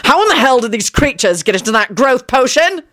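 A woman's voice: high-pitched, sing-song, brisk, a bit bright, voice pushed up. A woman voicing a stern, exasperated male government minister who bellows and snarls in a storybook narration. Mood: thundering anger.

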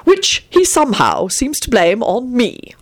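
A woman voicing a sour, demanding male lieutenant in an audiobook story, gruff and irritable. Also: fairly high pitch, huge pitch swings, a little fast, pitched above the performer's own voice.

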